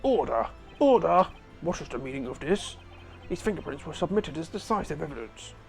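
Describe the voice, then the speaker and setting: A woman voicing a stern older male courtroom judge in a fan dub of a game, booming and indignant, with a gravelly voice. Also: sing-song, moderate pace, darkish tone, soft background music, exaggerated voice.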